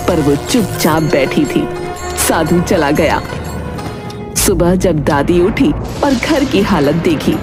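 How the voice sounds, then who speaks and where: A woman narrating a scripted children's moral story as a storyteller. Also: on the low side. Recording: music behind narration.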